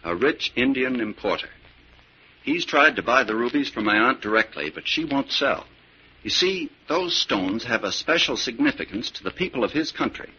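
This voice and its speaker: An actor playing a suave, scheming young heir in a 1940s radio drama, with a theatrical, calculating manner. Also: male voice, mid-range voice, lively intonation, moderate pace, bright, forceful tone, husky. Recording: muffled old 1940s radio recording.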